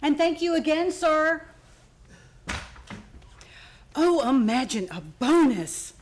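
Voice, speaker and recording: A woman acting an excitable, dramatic store employee in a radio play, exclamatory and gushing. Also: high voice, measured pace.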